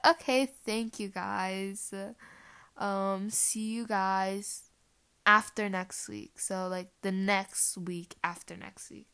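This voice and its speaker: A woman's voice: mid-range voice, creaky, fairly bright tone. A teenage girl whose first language is Portuguese, hosting her podcast with rambling, giggly casual chat full of fillers. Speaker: podcast host.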